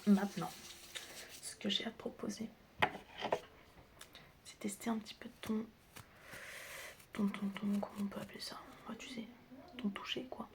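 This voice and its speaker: A woman speaking softly and often whispering in a playful, teasing ASMR roleplay, casually addressing the listener as a friendly doctor. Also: medium pitch, fairly even intonation, moderate pace, long pauses. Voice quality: soft, breathy, often whispering. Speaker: ASMR creator playing a chaotic doctor best friend. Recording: close recording with clear background noise. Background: background noise, clicking.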